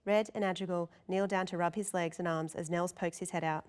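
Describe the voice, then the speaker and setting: A woman's voice: on the low side, steady tone, brisk, a little mellow, smooth. A woman reading scripted narration and stage directions as narrator at a table read.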